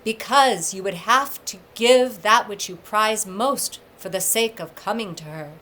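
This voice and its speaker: A woman's voice: mid-range voice, unhurried, sharp tone. A woman reading the part of a wise old father god, solemn and admonishing, in archaic storybook language. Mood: grave warning.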